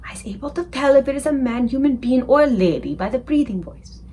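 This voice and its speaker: A woman voicing a gentle, confident male giant from a children's storybook, with folksy, ungrammatical speech full of invented words. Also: sits high, lively intonation, fairly quick, husky. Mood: hushed, confident. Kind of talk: children's book character voice.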